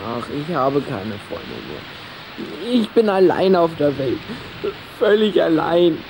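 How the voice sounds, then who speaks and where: A man's voice: on the high side, big pitch swings, slow, creaky, a little mellow, heavily acted, low-quality recording. Little vampire boy: touchy, lovesick, theatrical, stammers under strain. Radio play, slight noise. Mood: despairing. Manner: dramatic, stammering when upset. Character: moody, lovesick young boy vampire, quick to rage. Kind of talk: children's radio play acting.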